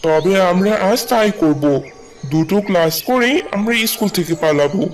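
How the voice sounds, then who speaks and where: A man's voice: high voice, animated, moderate pace. Chummy schoolboy monkey, slightly distant, a man acting. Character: young male monkey schoolboy, inquisitive, impressionable friend. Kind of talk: cartoon character dialogue. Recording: distant voice with faint background music.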